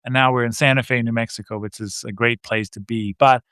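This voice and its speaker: A man's voice: mid-range voice, fairly even intonation, brisk, a bit bright, dry recording, studio quality. Man in his fifties with a Danish accent. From Denmark. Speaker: guest, real estate investor. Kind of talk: casual interview answers.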